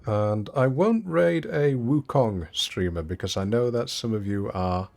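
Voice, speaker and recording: A man's voice: medium pitch, expressive, unhurried, creaky, a little mellow. A man streaming a game from home, chatting casually and poking fun at himself while answering viewers. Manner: chatty and self-deprecating, answers viewers' chat aloud. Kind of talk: casual live-stream chat while gaming. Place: home, not soundproofed.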